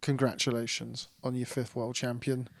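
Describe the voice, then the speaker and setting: A man's voice: mid-range voice, flat delivery, normal speed, creaky, no room sound. A man interviewing a boxing trainer, asking questions and politely wrapping up. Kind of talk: sports interview.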